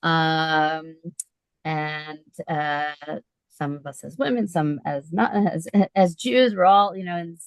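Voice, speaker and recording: A woman moderating a literary event on a video call, chatty and relaxed, laughing often and talking with many fillers. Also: sits low, slow, smooth, no echo.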